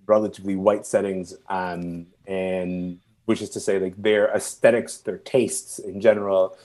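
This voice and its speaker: A man from Sudan who moved to Canada young and is not a native English speaker, speaking reflectively and haltingly as an interview guest, searching for words. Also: low-pitched, darkish tone. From born and raised in Sudan, moved to Canada at twelve. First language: non-English first language.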